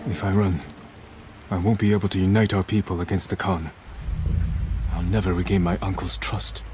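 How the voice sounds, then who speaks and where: A man's voice: low-pitched, level tone, normal speed, a little hiss or hum, close to an everyday voice. A man playing a brooding, defiant young samurai in a video game, speaking in a grave, resolute and terse way. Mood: low grave resolve. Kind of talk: dramatic video game acting. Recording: loud music behind.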